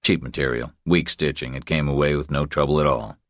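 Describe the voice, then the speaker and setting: Very deep-voiced male thriller narrator. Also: steady tone, a little fast, a bit bright, no room sound, studio quality. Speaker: audiobook narrator. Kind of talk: scripted narration of a thriller novel.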